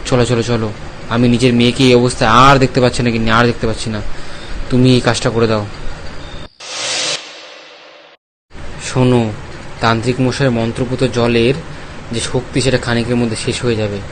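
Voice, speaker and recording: A man's voice: mid-range voice, flat delivery, fast, background noise, close to an everyday voice. A man voicing a worried village father in a cartoon story, agitated and pleading, repeating himself. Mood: urgent. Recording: clear music under the voice.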